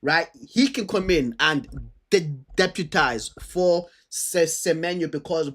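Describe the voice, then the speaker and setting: A man's voice: a little high, takes it slowly, fairly bright tone. A man with a stammer chatting casually and unscripted as he hosts a football preview, using slang and repeating himself as he thinks aloud. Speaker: football fan host previewing fixtures.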